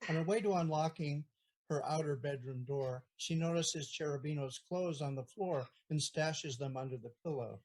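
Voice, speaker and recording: A man's voice: a little high, moderate pace, darkish tone, rough recording. A man reading out stage directions as narrator of an audio play, plain and matter-of-fact.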